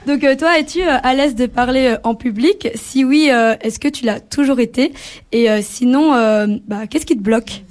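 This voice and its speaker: Young woman hosting, hesitant and halting. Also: high voice, a little mellow. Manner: hesitant, many filler sounds. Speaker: student radio host. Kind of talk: hosting a radio workshop chat.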